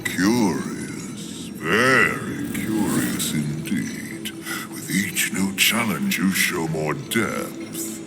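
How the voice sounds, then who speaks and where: A man playing an ancient, cryptic otherworldly lord in a video game, speaking in a measured, pompous and philosophical way. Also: low-pitched, expressive, loud music behind the voice, exaggerated voice. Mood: musing. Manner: measured, deliberate, pompous, theatrical. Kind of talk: video game cutscene acting.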